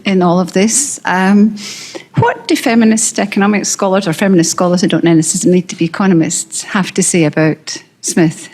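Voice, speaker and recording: A woman in the audience asking the panel a question, halting and starting over several times. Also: fairly low pitch, darkish tone.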